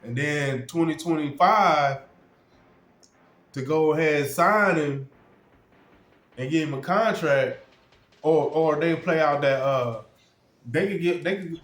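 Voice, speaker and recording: A man hosting his fan channel, talking loosely and slangily with plenty of swearing, sniffly from a cold. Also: on the high side. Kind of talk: casual sports commentary. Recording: roomy sound with faint music.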